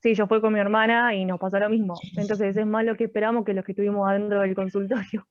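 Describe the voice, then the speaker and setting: A woman's voice: medium pitch, flat delivery, quick pace, no echo, muffled recording. A woman from Rosario, a student, politely telling the class about her own experience. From Rosario, Argentina. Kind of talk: classroom comment.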